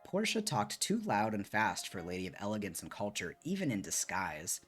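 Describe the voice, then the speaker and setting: A man's voice: fairly low pitch, wildly swooping pitch, fairly quick. A man reading a book aloud expressively on a stream, with casual commentary, close to the microphone. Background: soft music.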